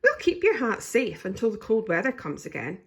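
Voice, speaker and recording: A woman's voice: a little high, huge pitch swings, normal speed, creaky, husky, voice pushed up, full character voice. A woman voicing a kindly, cheery granny rabbit in a children's storybook, affectionate and coaxing.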